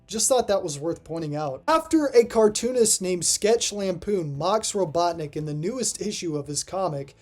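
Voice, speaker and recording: Music plays behind a mid-pitched host recording a scripted review voice-over. The delivery is casual and dry, with offhand asides, like someone working through a ranked list of cartoon episodes. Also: expressive, moderate pace, few pauses, a little mellow.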